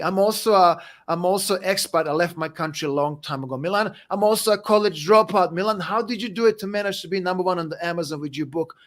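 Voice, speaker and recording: A man whose first language is Serbian, answering interview questions in an energetic, emphatic motivational way, often tagging "right?" onto his points. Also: sits high. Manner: energetic, emphatic, repeats "right?" and "you know what?". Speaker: guest speaker and coach.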